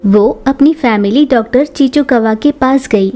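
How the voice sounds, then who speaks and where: Female narrator, high pitch, soft mellow tone, children's storytelling, faint music underneath. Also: unhurried.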